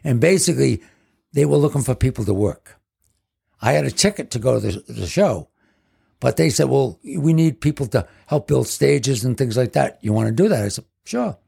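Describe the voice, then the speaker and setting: A man's voice: medium pitch, animated, normal speed, gravelly, dark tone, husky. A man in his seventies telling rambling stories about his past as a guest in casual chat. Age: 70s. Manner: rambling, leans on "basically". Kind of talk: casual storytelling.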